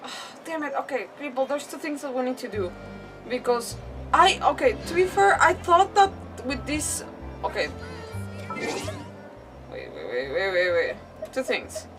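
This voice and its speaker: A woman's voice: high-pitched, wildly swooping pitch, a little slow, pauses often, far from the mic, poor audio. Woman game streamer, casual scattered chat, exclamatory, word repeats, away from mic, faint music.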